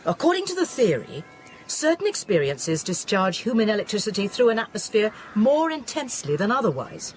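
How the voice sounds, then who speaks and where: A rational woman scientist played by an actress in a radio drama, explanatory and steadying. Her pitch moves freely as she reasons, over faint noise. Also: very animated, close to an everyday voice. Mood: thoughtful. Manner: explanatory, measured, thoughtful. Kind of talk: audio drama acting.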